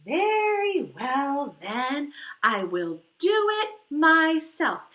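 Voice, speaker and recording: High-pitched, industrious little mother hen played by a woman. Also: sing-song, sharp tone, exaggerated voice. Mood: resolute. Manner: singsong, drawn-out calls. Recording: echoey room.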